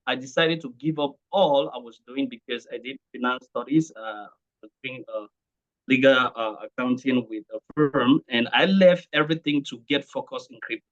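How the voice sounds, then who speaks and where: Muffled Cameroonian man giving an online talk. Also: medium pitch. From Cameroon, English-speaking region. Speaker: speaker sharing testimonial at online training. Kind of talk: informal presentation to an online group.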